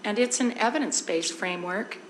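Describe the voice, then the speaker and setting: Hoarse woman, district presenter, easygoing and explanatory, in a roomy hall with faint noise. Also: medium pitch, a little fast. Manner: conversational, explains with everyday examples. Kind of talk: informal presentation at a board meeting. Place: board meeting room.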